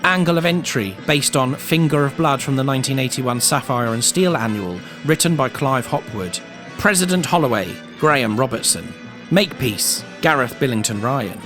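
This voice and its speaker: A man reads scripted credits as an announcer, in a medium-pitched voice. His delivery is formal and orderly, with music clearly playing behind him. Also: expressive, normal speed.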